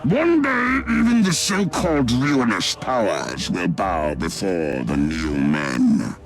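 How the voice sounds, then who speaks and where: Game villain: megalomaniac male mad scientist, theatrical, wildly swooping pitch, gravelly. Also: big pitch swings, slow pace, exaggerated voice. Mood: gloating, grandiose. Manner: grandiose, theatrical villain delivery. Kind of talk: dramatic video game cutscene acting. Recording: music behind the voice. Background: game soundtrack music.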